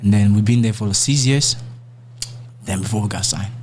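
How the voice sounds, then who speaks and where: Halting young Nigerian man in an interview. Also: on the low side, a little mellow, slight background noise. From born in Nigeria, raised in Dallas. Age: young adult. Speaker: musician being interviewed. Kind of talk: casual podcast interview talk.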